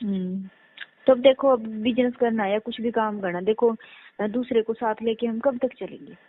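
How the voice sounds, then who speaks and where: A woman's voice: on the high side, moderate pace. A young woman chats casually on a phone call, often checking for agreement. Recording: narrowband phone line, faint noise.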